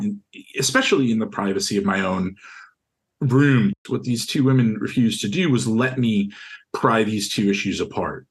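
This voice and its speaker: The deep, carrying voice of a young man you would hear in a documentary interview, earnestly and haltingly going back over what happened to him. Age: young adult. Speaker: interviewee, university student. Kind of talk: recounting a personal experience in an interview.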